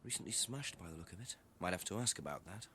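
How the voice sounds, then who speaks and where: An actor playing a curious detective musing aloud over clues in a filmed mystery game. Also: male voice, low voice, lively intonation, fast talker.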